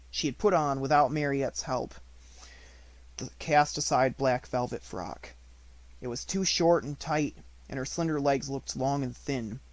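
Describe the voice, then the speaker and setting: A man's voice: medium pitch, no room sound. A man reading scripted narration from a children's novel as an audiobook narrator.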